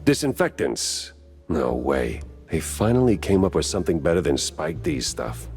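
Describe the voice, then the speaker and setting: Low gravelly hardboiled mouse detective. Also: male voice, very animated, moderate pace, a little mellow, soft background music, exaggerated voice. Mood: wry. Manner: sardonic, slangy noir delivery. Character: gruff wisecracking hardboiled male mouse private detective. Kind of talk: 1930s noir cartoon game acting.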